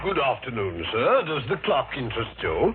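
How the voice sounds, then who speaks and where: An actor playing an anxious middle-aged shopkeeper in an old-time radio mystery, prone to stammering and indignation. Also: male voice, mid-range voice, huge pitch swings, moderate pace. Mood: polite. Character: anxious, dejected shopkeeper father. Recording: tinny vintage radio recording.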